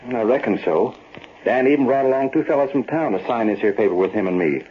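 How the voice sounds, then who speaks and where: An actor playing a trusting, plain-spoken miner husband with folksy Western speech in an old radio drama. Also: male voice, medium pitch, lively intonation, fast talker, natural voice. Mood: earnest. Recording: dull, hissy old radio recording.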